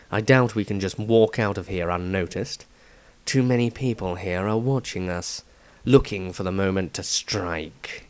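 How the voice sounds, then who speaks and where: A man voicing a brooding, wary adventurer who speaks in a grim, hushed way in a fantasy diary story. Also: low-pitched, no echo, voice pushed down. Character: brooding, wary male adventurer, low and guarded.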